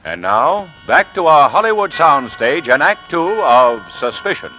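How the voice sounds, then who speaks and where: Casting: formal male host for a 1940s suspense broadcast, animated delivery over prominent music. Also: a little high, measured pace. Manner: formal, dramatic. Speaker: radio announcer. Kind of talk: 1940s radio announcing, scripted introduction. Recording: vintage radio broadcast with music.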